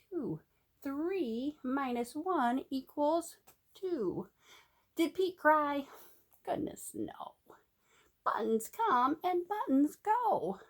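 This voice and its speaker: A woman reads a picture book aloud in a teacherly, animated way. Her voice sits fairly high and she takes her time, stretching words out very slowly. She puts questions to young listeners and slips into a sing-song delivery. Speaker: teacher reading to young children.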